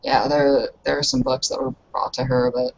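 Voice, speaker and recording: A woman's voice: a bass voice, fairly even intonation, moderate pace. A woman casually and hesitantly narrating her character in a tabletop roleplay, on a headset. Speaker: roleplay game player. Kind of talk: descriptive tabletop game narration. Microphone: headset on online video call. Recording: dull, slightly noisy online call audio.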